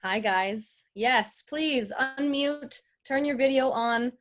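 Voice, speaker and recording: Chatty woman teaching an online voiceover class, kinda high voice, explains stuff right to the group. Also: takes it slowly, fairly bright tone. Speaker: guest voice-over artist teaching a class. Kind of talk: informal online tutorial.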